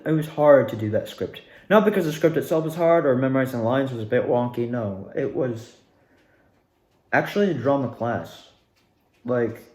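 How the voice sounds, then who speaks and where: A young man talks casually in an echoey room, his voice at an ordinary male pitch. He rambles through his story in an animated way, doubling back and repeating words for emphasis. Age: young adult. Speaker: student actor recounting past performances.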